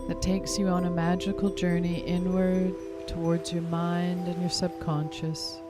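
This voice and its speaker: Slow-speaking woman guiding a meditation. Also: lowish voice, level tone. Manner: soothing, unhurried, gently instructing. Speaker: hypnotherapist, meditation guide. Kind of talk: guided meditation, hypnotic induction. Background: music clearly behind the voice.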